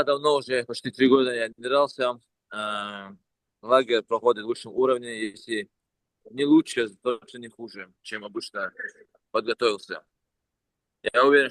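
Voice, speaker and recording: Male fighter giving brief answers, middling-low pitch, sharp bright tone, close dry sound. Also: no room sound, poor audio. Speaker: professional fighter guest. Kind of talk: short interview answers.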